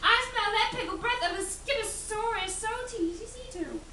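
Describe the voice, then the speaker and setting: A woman's voice: extremely high pitch, moderate pace, sharp tone, slight background noise, pitched above the performer's own voice, exaggerated voice. A woman voicing a huge, blustering male dinosaur in a storybook, roaring out dramatic lines. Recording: echoey room from a distance.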